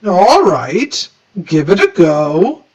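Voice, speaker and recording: Woman acting stern, pompous, slow-spoken monster judge Mister Z. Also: on the low side, very animated, darkish tone, husky, lo-fi sound, exaggerated voice. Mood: solemn, formal. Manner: formal, pompous, deliberate. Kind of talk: children's storybook character voice.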